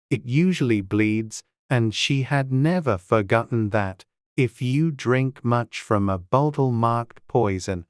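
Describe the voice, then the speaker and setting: A man's voice: mid-range voice, a little mellow, clear, resonant tone, dry recording, studio quality. A man reading scripted storybook narration as an audiobook narrator.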